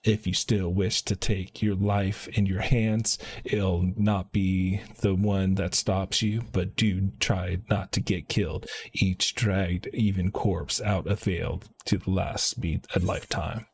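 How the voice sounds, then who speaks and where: A man's voice: low-pitched, level tone, takes it slowly, few pauses, slightly rough, exaggerated voice. A man reading aloud the dialogue of a sardonic quest-giver in a fantasy game. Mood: wry warning.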